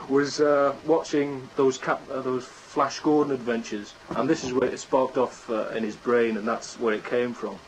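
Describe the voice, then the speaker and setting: A man's voice: medium pitch, flat delivery, measured pace, hardly any pauses, slight background noise, low-quality recording. A man, chatting casually as an interview guest. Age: 20s. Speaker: interview guest, amateur radio drama writer.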